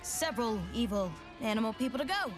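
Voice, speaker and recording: Woman playing young armored warrior, brash, snarky, defiant, animated high voice, video game voice lines, loud music. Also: quick pace, lightly acted. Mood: cocky, taunting. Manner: cocky, snarky, blunt. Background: prominent music.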